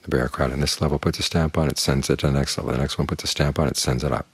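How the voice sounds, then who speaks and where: An older man, a Buddhist monk, giving a calm, plain-spoken evening dharma talk that leans on homely images. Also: rumbling low, very fast, husky, no echo.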